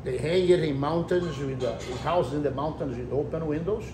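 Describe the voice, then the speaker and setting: A man in his fifties who owns a restaurant, explaining things in an animated, gesturing way during a casual interview. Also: on the high side, moderate pace. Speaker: restaurant owner. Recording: echoey room with ambient noise.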